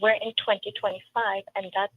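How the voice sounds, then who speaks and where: A woman's voice: mid-range voice, flat delivery, a little fast. A woman with a Trinidadian accent, speaking earnestly and emphatically as a political candidate in an interview. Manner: earnest, emphatic, with storytelling asides. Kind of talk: interview answers. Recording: tinny phone-like line with faint noise.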